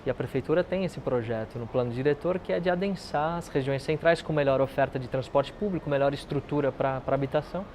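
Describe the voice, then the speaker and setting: A man's voice: mid-range voice, fast talker, few pauses, darkish tone. A man giving his views in a documentary interview. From São Paulo, Brazil. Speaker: local business owner interviewee. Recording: noisy outdoor urban traffic.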